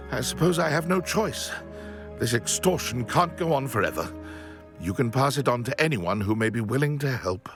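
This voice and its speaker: A man's voice: mid-range voice, animated, moderate pace, music in the background, lightly acted. Florid, mournful widower, played by a man, swooping intonation. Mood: resigned. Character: shaken, mournful widower, refined and fearful gentleman. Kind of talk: video game voice acting, scripted dialogue.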